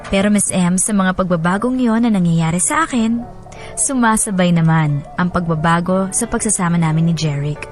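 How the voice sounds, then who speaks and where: A woman's voice: lowish voice, moderate pace, natural voice. Woman acting a confiding wife, music underneath. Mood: rueful, confiding. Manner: reflective, confiding. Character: wife reflectively telling her bittersweet marriage story. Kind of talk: scripted first-person radio drama narration.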